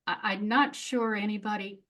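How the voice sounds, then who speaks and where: A woman's voice: mid-range voice, normal speed, a bit bright. A middle-aged woman speaking as a guest in a casual interview, frank and reflective, often laughing at herself. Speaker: memoirist guest.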